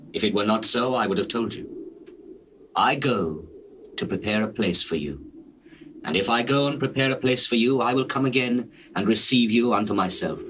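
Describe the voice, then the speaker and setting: Solemn, deep-voiced male holy teacher played by an actor. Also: fairly bright tone, slight background noise, natural voice, poor audio. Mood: solemn, tender. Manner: measured, solemn, declamatory. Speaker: actor in dramatized Bible recording. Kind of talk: dramatized scripture reading in archaic English.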